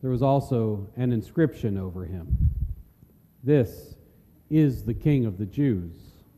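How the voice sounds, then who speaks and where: A man's voice: medium pitch, expressive, slow, mellow. A man narrating a solemn, dramatic scripture reading. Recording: long reverb of a large hall.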